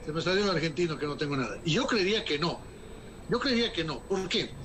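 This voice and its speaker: A man with a fairly high voice speaks as an economist answering an interviewer. He argues emphatically and formally, often posing rhetorical questions before answering them. Faint noise hums under the recording. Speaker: economist guest.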